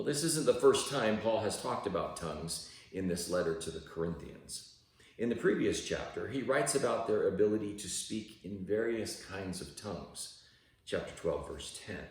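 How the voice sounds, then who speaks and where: A man reading a devotional aloud in a measured, deliberate way with emphatic stresses. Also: low-pitched. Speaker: reader of a devotional audiobook. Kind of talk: read-aloud devotional narration. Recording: very echoey room, distant from microphone.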